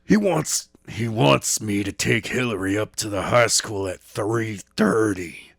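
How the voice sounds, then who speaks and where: A man's voice: fairly low pitch, unhurried, gravelly, a bit bright, dry recording, clean studio sound, full character voice. A man reading a novel aloud, voicing a harsh, bitter grown man. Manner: harsh, clipped.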